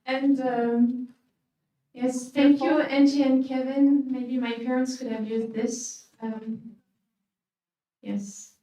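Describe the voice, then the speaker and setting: A religious sister from France with a French accent, giving a humble, hesitant faith talk with many fillers. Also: female voice, high voice, flat delivery, slow pace, long pauses, mellow. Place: lecture hall. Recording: echoey hall, speaker far from the mic.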